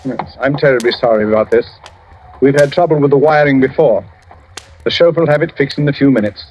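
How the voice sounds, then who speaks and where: An actor playing a cool, courteous titled gentleman host in an old radio drama, polite and composed. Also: mid-range voice, lightly acted. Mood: cool, feigned concern. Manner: polite, composed, measured, understated. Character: cool, courteous English knight hosting a dinner. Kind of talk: 1940s radio acting. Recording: noisy, dull old radio recording with clicks.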